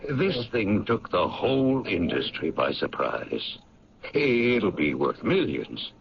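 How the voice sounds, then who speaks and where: A man's voice: lowish voice, very animated, slow pace, vocal fry, a crowd in the background, full character voice. An actor playing a proud paint company owner in a 1970s radio drama, talking with a boastful salesman's patter. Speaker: factory owner. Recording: dull lo-fi 1970s radio recording.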